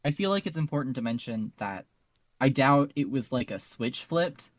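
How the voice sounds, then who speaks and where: A man's voice: medium pitch, lively intonation, moderate pace, no room sound, studio quality. A man speaking as a podcast panelist in a casual fan discussion, earnest and emphatic, repeating phrases for stress.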